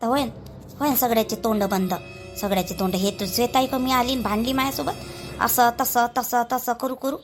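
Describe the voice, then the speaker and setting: A woman playing a sharp-tongued relative who taunts and accuses. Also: fairly high pitch, fairly even intonation, fairly quick, faint music behind, lightly acted. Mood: taunting. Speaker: actor in a family drama skit.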